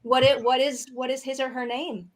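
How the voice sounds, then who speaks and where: A woman's voice: high voice, level tone, normal speed. A woman hosting a friendly interview in a chatty, upbeat way. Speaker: interview host.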